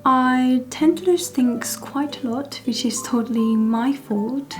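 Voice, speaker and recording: A young woman from Okinawa, Japan, with a Japanese accent and Japanese as her native language, narrating a scripted vlog in a calm, measured, soft-spoken way. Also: high voice, faint music behind. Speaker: language vlogger.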